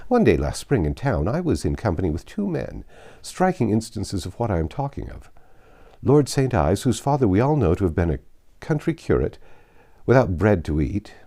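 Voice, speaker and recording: A vain, haughty old baronet from a classic storybook, acted out by a male reader. He speaks with pompous disdain and emphatic, highly varied intonation. The tone is soft-edged rather than sharp. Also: full character voice. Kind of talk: theatrical audiobook character reading.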